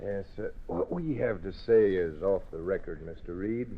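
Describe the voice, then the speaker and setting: An actor playing a serious, formal police inspector in an old-time radio drama, deliberate and official. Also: male voice, deep voice. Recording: tinny band-limited old radio recording.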